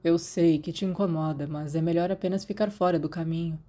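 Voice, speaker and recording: A woman's voice: fairly low pitch, fairly even intonation, brisk, warm tone, close to an everyday voice. A woman voicing a naive, blunt, defensive teenage boy in audiobook dialogue. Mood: earnest, hesitant. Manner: blunt, grumbling, hesitant.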